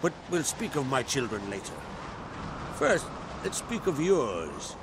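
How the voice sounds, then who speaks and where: An actor playing an older island king and father in a video game cutscene, speaking in a steady, measured, paternal way. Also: medium pitch, background noise. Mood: calm, paternal. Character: older jarl, father of two grown children. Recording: game audio with music behind.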